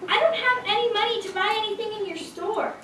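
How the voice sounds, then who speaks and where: A woman's voice: extremely high pitch, quick pace. A woman voicing a kind, innocent girl neighbor in a children's puppet show, in an echoey, noisy recording. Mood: bewildered, dismayed. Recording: echoey, distant, clearly noisy.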